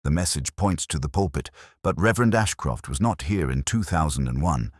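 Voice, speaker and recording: Man playing calm, very deep-voiced police inspector. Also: no echo, clean studio sound. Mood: firm. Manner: measured, deliberate.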